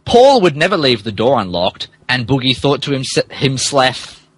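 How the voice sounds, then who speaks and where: A man's voice: mid-range voice, sing-song, moderate pace. A man hosting and narrating, moving between casual chat and mock dramatic reading, amused and stumbling over garbled text. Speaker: podcast host and narrator.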